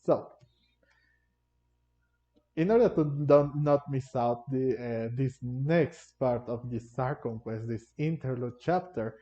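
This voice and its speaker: A man commentates casually on his gameplay. His voice is dark and relaxed at a middle pitch, and he rambles haltingly, repeating words. The sound is somewhat muffled and lo-fi, with faint music behind. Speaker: gaming video creator. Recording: dull, lo-fi home microphone. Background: faint game music.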